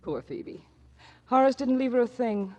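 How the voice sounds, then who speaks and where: A woman's voice: on the high side, lively intonation, a little slow, darkish tone, highs cut off. An actress playing a flirtatious, forward, wealthy married woman in a radio drama, coy and teasing. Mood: chatty, curious.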